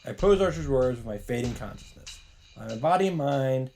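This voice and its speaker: A man's voice: mid-range voice, animated, fairly quick, darkish tone, a little hiss or hum. A man doing a dramatic read-aloud as host of a visual novel playthrough. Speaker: let's play host reading aloud. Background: faint game music.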